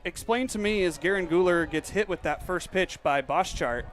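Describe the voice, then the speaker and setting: A man's voice: sits high, normal speed. A young man hosting a baseball radio broadcast from a stadium booth, mixing play-by-play calls with interview questions. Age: young adult. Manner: steady announcer delivery mixing calls and questions. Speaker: play-by-play announcer and host.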